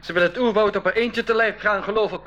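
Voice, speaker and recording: A man's voice: sits high, fairly even intonation, fast talker, sharp tone, muffled recording. A man playing a keen, wry boy adventurer in a 1980s school radio drama. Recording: slightly noisy 1980s radio recording.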